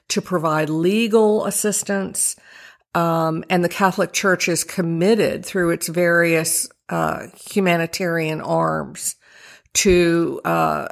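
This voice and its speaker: A woman's voice: lowish voice, vocal fry. A woman in her sixties, an author from Virginia, talking in a radio interview, thoughtful and hesitant with many fillers. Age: 60s. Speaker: author guest.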